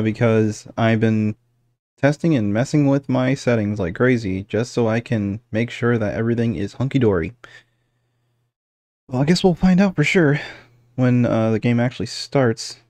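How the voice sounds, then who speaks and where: A man's voice: medium pitch, darkish tone, no room sound. A man hosting a casual let's-play, groggy from just waking up, rambling with many fillers and restarts. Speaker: gaming channel host.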